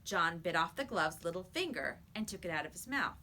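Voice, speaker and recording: A woman's voice: lowish voice, animated, brisk, bright, forceful tone, a little hiss or hum. A woman reading a children's story aloud like a teacher, with emphatic, dramatic storytelling.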